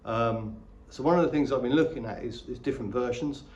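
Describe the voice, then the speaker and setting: A man's voice: medium pitch, brisk, darkish tone, highs cut off, a little hiss or hum. A middle-aged man giving a casual, chatty, plain-spoken product review as a vlogger. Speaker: vlogger reviewing motorcycle gear. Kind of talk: casual unboxing and review talk.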